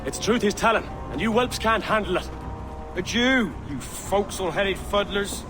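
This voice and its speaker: A mocking pirate in a game cutscene. The voice is high, scornful and theatrical, with clear music underneath. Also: male voice, lively intonation, normal speed. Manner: taunting, theatrical. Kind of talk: video game character acting. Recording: music and outdoor ambience behind.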